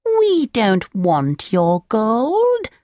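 A woman's voice: on the high side, wildly swooping pitch, very slow, warm tone, no room sound, studio quality, pitched above the performer's own voice, heavily acted. A woman voicing a scheming cat in a children's storybook, falsely sweet and syrupy.

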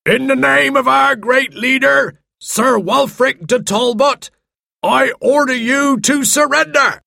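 A narrator voicing a commanding knight who slowly and loudly proclaims demands in a storybook reading, with a strained voice. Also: high voice, gravelly, dry recording, clean studio sound, voice pushed up, exaggerated voice. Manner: slow, loud proclamation. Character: knight herald demanding surrender, loud, stern, commanding man.

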